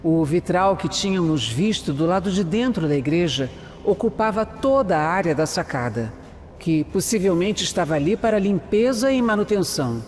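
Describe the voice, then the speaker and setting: A man's voice: high voice, expressive, normal speed, darkish tone. A man with a Brazilian accent playing a methodical detective who narrates an audio drama. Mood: measured. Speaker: narrator and protagonist. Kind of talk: scripted first-person narration in an audio drama. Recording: long reverb, music underneath.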